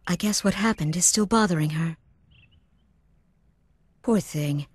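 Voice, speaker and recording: A woman voicing a gentle, worried mother in a video game, tender and fretful. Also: lowish voice, unhurried, breathy edge, no room sound. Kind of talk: video game cutscene voice acting.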